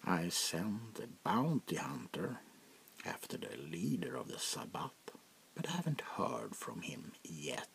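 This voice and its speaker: An aristocratic male vampire prince, played by a man speaks softly for an ASMR roleplay. His low, creaky voice swings expressively and often sinks to a whisper. He is languid, jaded and mockingly polite. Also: huge pitch swings, a little slow, full character voice. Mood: weary. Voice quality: gravelly, often dropping to a whisper. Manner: languid, world-weary, mockingly courteous. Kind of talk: soft-spoken ASMR roleplay.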